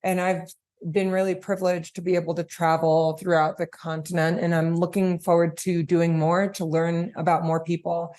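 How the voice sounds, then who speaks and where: Woman, slightly low pitch, conversational interview guest. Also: little pitch movement, moderate pace, darkish tone. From New York, United States. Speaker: guest, podcast platform chief executive.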